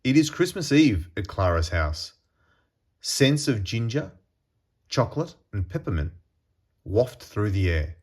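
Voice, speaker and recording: A man reading a children's storybook aloud in an expressive, deliberate storytelling manner with pauses. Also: lowish voice. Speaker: storybook reader.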